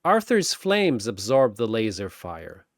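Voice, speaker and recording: Guy doing dramatic battle story narration, takes his time, big swings in pitch, theatrical punchy phrases. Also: wildly swooping pitch, slow, no echo, clean studio sound.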